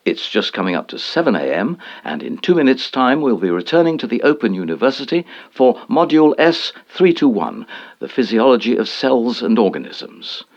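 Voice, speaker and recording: An actor playing a formal, measured television continuity announcer introducing educational programmes in an audio drama. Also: male voice, mid-range voice, sing-song. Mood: formal, brisk. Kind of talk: scripted broadcast continuity announcement. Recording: dull vintage broadcast sound.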